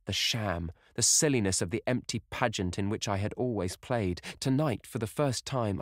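A man's voice: medium pitch, no room sound, clean studio sound, voice pushed up, close to an everyday voice. A man reading aloud, voicing a young actress swept up in love, in an ecstatic, pleading, theatrical way.

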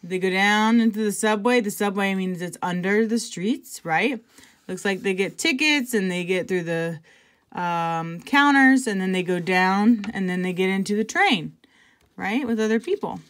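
A woman reading a picture book aloud to children, conversationally, pausing for casual asides and check-in questions. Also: mid-range voice, lively intonation, fairly bright tone. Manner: conversational, pointing things out and asking check-in questions. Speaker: intervention specialist reading to children.

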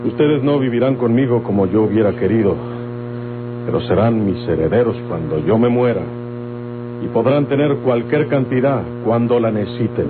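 An actor with a Mexican accent playing an aging, stubborn but calm outlaw father in a radio drama. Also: mid-range voice, normal speed, noisy background, close to an everyday voice. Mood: firm, fatherly. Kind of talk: golden-age Mexican radio drama acting. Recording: tinny old radio recording, music behind.

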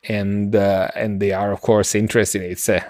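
A middle-aged man co-hosting a science podcast, explaining casually and haltingly, often circling back to rephrase. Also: on the low side, level tone, darkish tone, no echo, clean studio sound. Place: indoor room on a video call. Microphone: close desk microphone, headset.

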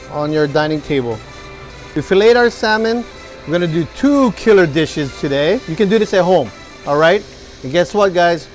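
Man, 40s, high-pitched, upbeat chef, restaurant kitchen, faint music behind. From Hawaii. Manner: upbeat, hypes the food to viewers. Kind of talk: cooking demonstration.

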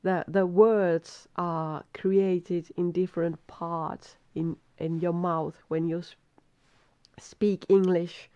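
A tired middle-aged woman with a Finnish accent reads aloud slowly from her desk, stumbling and restarting on hard English words. Her voice sits a little low, and the webcam audio is dull and lo-fi. The room sounds dry and close. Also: flat delivery, mellow, dry recording. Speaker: vlogger reading aloud. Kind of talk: casual self-recorded reading practice.

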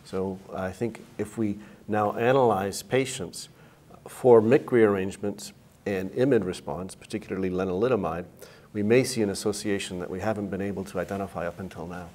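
A man's voice: lowish voice, fairly even intonation, moderate pace, a little mellow. A middle-aged man, giving expert answers in a medical panel interview. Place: indoor conference interview set.

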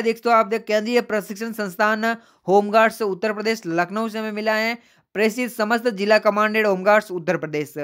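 A man hosting a YouTube news channel, reading out official letters and news updates in a chatty way that addresses viewers as friends. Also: high voice. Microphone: voice-over on screen recording.

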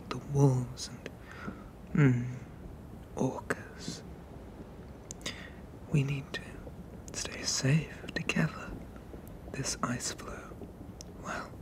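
A performer voicing a tender, possessive sea monster father in a soothing, breathy whispered ASMR roleplay. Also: medium pitch, lightly acted, rough recording. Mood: gently warning. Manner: soothing, hushing, slow and deliberate.

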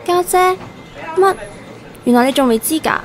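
An emotional young woman with a Hong Kong Cantonese accent, played by an actress. She speaks expressively in radio drama style, fairly high, with faint music behind her. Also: animated, moderate pace, some chatter behind. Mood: surprised, apologetic.

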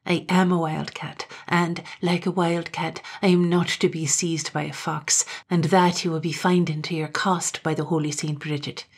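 A woman reader voicing a fierce, defiant young Highland woman, with a husky, gravelly edge and a spitting, fiery manner. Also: flat delivery, normal speed, hardly any pauses. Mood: scornful.

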